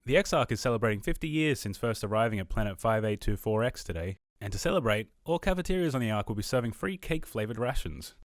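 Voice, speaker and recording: A man's voice: on the low side, lively intonation, quick pace, hardly any pauses, dry recording, studio quality, natural voice. A man playing a genial radio host and Earth enthusiast in a science fiction audio drama. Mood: presenting.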